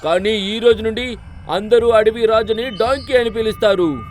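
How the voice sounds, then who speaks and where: A man's voice: sits high, normal speed, slight background noise, lightly acted. A man voicing a boastful, bossy cartoon donkey who poses as a lion king, with a pompous, commanding manner. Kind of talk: children's cartoon story dubbing. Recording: music bed behind the voice.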